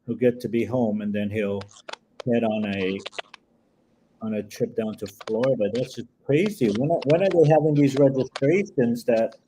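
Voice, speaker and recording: A man's voice: medium pitch, normal speed, dark tone, a little hiss or hum, poor audio. Rambling male panel host. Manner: rambling, many ums and restarts. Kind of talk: casual panel chat.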